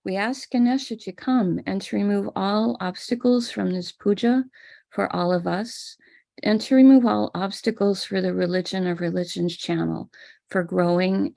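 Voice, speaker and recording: Gravelly, mellow-voiced American woman. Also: mid-range voice. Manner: calm, explanatory, step-by-step. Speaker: spiritual teacher leading a ritual. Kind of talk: live-streamed ritual instruction. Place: home room with a small altar table.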